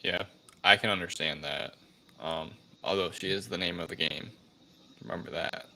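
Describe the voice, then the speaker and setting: A man's voice: sits low, steady tone, moderate pace, vocal fry, sharp tone, rough recording. A man co-hosting a casual podcast, chiming in briefly in a laid-back way. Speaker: podcast co-host reviewing films. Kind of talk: casual chat.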